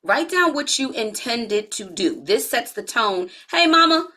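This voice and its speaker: A woman in her forties giving a live-streamed health coaching talk, chatty and relaxed, speaking straight to her viewers and using casual slang. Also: on the high side, measured pace. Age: 40s.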